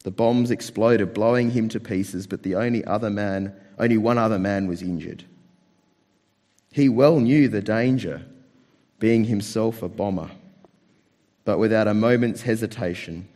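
A man with a slightly hoarse, croaky voice, preaching a sermon with storytelling as a pastor. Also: lowish voice, a little slow, darkish tone. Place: church hall, speaking to a congregation. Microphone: microphone with amplification. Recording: long hall reverb through PA microphone.

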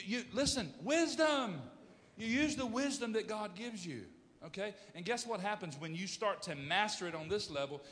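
A pastor in his fifties preaching a sermon, emphatic, swinging from loud bursts to hushed storytelling. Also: sits high, in a large hall.

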